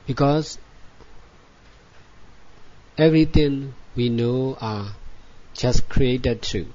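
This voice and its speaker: A man who is a native Burmese speaker gives guided meditation as a Buddhist teacher, in measured, repetitive short phrases with frequent pauses. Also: medium pitch, dark tone. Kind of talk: guided meditation, spoken dhamma instruction. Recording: dull lo-fi recording.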